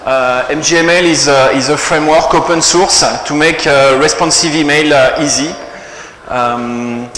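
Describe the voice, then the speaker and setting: A man's voice: fairly high pitch, steady tone, moderate pace, a bit bright. A young man from France with a French accent, giving a technical conference talk with many fillers and restarts. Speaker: conference speaker. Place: conference hall. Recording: echoey hall, faint room noise.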